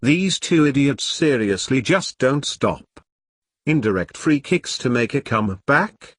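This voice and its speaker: A man voicing a scornful, disdainful podcast announcer in a deadpan scripted bumper. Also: mid-range voice, unhurried, breathy edge, no room sound, studio quality. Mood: mocking.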